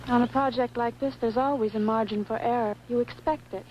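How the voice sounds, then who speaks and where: A woman's voice: fairly high pitch, fairly even intonation, brisk, darkish tone, close to an everyday voice. A woman acting an earnest, supportive science team colleague in a 1950s science fiction film. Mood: consoling. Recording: dull, faintly noisy old film soundtrack.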